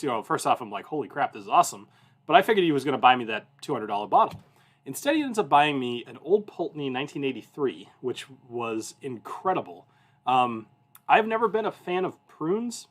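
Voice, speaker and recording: Male host, 30s, mid-pitched voice; informal livestream chat, loose anecdotal delivery that wanders and doubles back. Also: a bit bright. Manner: informal, rambling, self-interrupting. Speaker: whiskey review show host.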